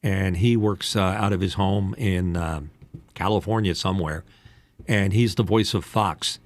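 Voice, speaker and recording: A man's voice: low voice, measured pace. A man in his late sixties chatting casually like a coach, giving candid, rambling explanations as a seasoned announcer and host. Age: late 60s. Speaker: voice-over announcer and podcast host. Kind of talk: casual chat, coaching on voice-over.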